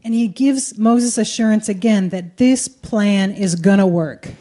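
A woman's voice: medium pitch, measured pace, dark tone. A woman preaching a sermon in short phrases, in a conversational, colloquial way. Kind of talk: sermon delivered in short phrases for live interpretation.

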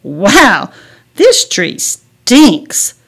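A cheeky little boy from a kids' story played by a woman storyteller. He taunts in a bratty sing-song, with very lively swings in pitch. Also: takes it slowly, vocal fry, exaggerated voice. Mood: disgusted. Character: bratty, teasing little boy who torments his sister.

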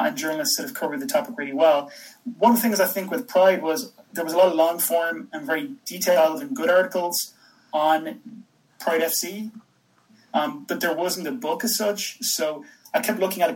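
A man from Dublin with an Irish accent, talking as an interview guest in a tentative way with frequent pauses. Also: a little high, low-quality recording. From Dublin, Ireland. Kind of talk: conversational podcast interview.

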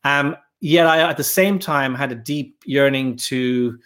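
A man, a podcast guest talking casually and thoughtfully, pausing often. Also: medium pitch, fairly bright tone. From Ireland. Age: 50s. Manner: thoughtful, halting, with frequent pauses and fillers. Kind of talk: casual interview talk.

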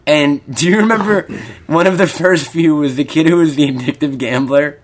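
A man's voice: fairly high pitch, moderate pace. A man in his mid-twenties chatting casually as a podcast co-host, rambling and swearing freely. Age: 20s. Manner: profane, rambling, jokey. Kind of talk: casual chat.

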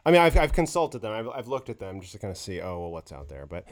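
A male podcast host with a lowish voice talks quickly. His questions ramble and wander, peppered with casual fillers as he chats with his guest. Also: sing-song. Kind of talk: conversational interview.

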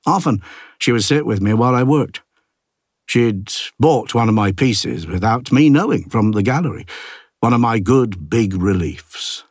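A man narrating an audiobook as an ageing village artist, a plain-spoken, gruff and reflective loner, with a husky low voice and a wry, understated delivery. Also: animated, measured pace, no room sound, studio quality. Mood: fond, wry. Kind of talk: first-person literary audiobook narration.

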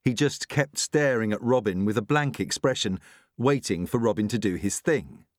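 A man's voice: fairly low pitch, moderate pace, no echo, clean studio sound. A man reading a novel aloud as scripted audiobook narration.

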